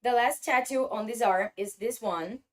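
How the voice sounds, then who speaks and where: Woman speaking halting English. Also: on the high side. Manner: halting, searching for English words. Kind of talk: casual vlog talk.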